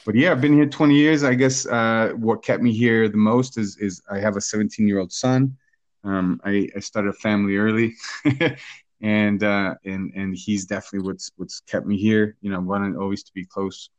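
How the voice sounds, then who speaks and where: A man. He talks in a relaxed, rambling way, restarting his sentences often. The recording is dry and a little dull. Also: lowish voice, dry recording. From Guatemala. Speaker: guest, hot sauce business owner. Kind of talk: casual interview talk.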